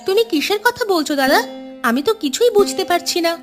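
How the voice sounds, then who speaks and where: Cartoon village girlfriend type, actress: young, very high voice, outspoken, loyal, retorting, music behind. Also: expressive, brisk. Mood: defensive. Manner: retorting, questioning. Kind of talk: cartoon voice acting. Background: background music.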